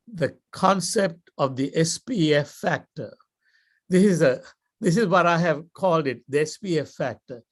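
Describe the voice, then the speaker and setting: Sri Lankan man giving a measured speech. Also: on the high side. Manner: measured, deliberate storytelling with dramatic pauses. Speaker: guest speaker. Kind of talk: motivational speech.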